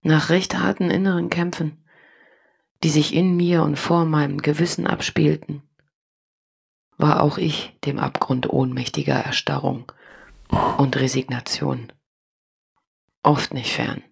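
A solemn woman reads a formal letter in a low, husky voice with plenty of creak. Her delivery is measured, grave and remorseful, in the manner of a radio play. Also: steady tone, heavily acted. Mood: grave, confessional. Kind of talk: dramatic letter reading in radio drama.

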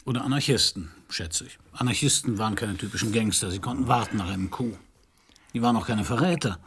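An actor playing a blunt, world-weary detective with an anarchist past, narrating and acting gruffly in a German radio crime play. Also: male voice, fairly low pitch, quick pace, fairly bright tone, a little hiss or hum. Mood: probing.

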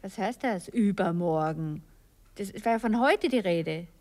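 An actress playing a seductive, capricious stage star in a radio play, coquettish, teasing and imperious, with a Viennese accent. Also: female voice, mid-range voice, lively intonation, measured pace, a little mellow. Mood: capricious, indignant. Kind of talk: intimate radio play acting.

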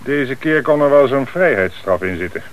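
A man's voice: mid-range voice, expressive, normal speed, dull audio, close to an everyday voice. A man acting in a radio play as a stern, dry, businesslike police commissioner, speaking with insistent authority. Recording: hissy old radio play recording.

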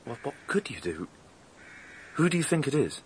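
A man's voice: medium pitch, sing-song, moderate pace, natural voice. An earnest young British man, played by an actor asks and probes like an amateur detective in love. It is radio drama acting, with a faint layer of background noise. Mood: probing, concerned. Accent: British English. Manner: earnest, questioning.

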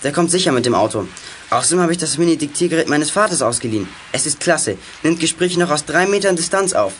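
An actor playing a decisive teenage boy detective in a 1980s youth radio play, giving brisk instructions. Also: a little high, expressive, fairly bright tone, slight background noise. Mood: businesslike.

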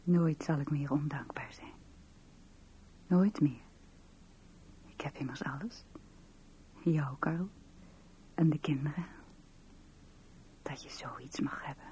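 A woman's voice: low-pitched, takes it slowly, long pauses, mellow, exaggerated voice. An actress playing an intense, emotional young wife and mother in a 1970s radio drama. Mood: fervent gratitude. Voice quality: very breathy, husky.

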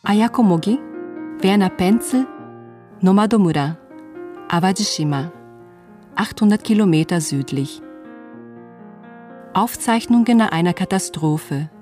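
A woman's voice: medium pitch, expressive, a little slow, long pauses, a little mellow. Woman announcer over faint music. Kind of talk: scripted title and credit announcement.

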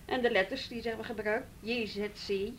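A woman's voice: a little high, steady tone, a little fast, slight background noise, natural voice. A woman acting a firm, patient, businesslike juvenile police detective in a naturalistic radio drama.

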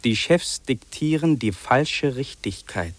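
Husky, brooding, lonely city man. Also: mid-range voice, expressive, dry recording. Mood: solemn, insistent. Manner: solemn, incantatory, deliberate phrasing. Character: lonely, fearful, brooding city man listing what he hears. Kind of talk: 1950s German radio drama monologue, declaimed. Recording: old radio play on cassette tape.

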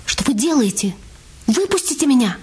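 A woman's voice: sits high, sing-song, moderate pace, darkish tone, husky, full character voice. A woman acting a startled, resourceful young woman in a radio play, polite and urgent. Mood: alarmed, protesting.

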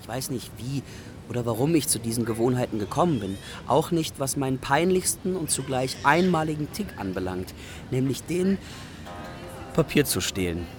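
A man's voice: medium pitch, lively intonation, moderate pace, music in the background, natural voice. A man playing a wry, self-mocking young refugee who tells his life in a confessional radio drama monologue.

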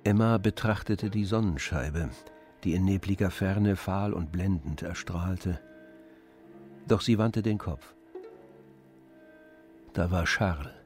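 A man narrating a literary audio drama in measured, polished storytelling phrases. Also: deep voice, darkish tone, soft background music.

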